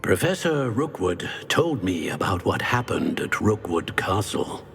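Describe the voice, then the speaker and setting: An actor playing a solemn, cautious elderly wizard mentor in a video game, with formal, deliberate speech. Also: male voice, low-pitched, sing-song, creaky, a bit bright, soft background music, full character voice. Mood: formal, advising. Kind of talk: video game cutscene acting.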